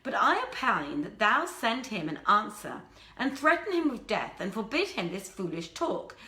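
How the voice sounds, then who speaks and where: A woman voicing a deferential old nurse in a storybook reading, flattering and pleading in archaic speech. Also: medium pitch, normal speed, echoey room. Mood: coaxing, deferential.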